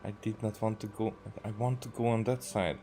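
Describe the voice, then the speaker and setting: A man with a Hungarian accent and a fairly low voice comments casually on a video game he is playing. He talks haltingly, often as if to himself, with faint music behind him. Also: steady tone, mellow. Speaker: game streamer.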